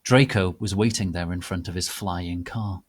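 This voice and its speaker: A man giving a theatrical, deliberate dramatic reading of a piece of fan fiction. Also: low-pitched, fairly even intonation. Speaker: reader.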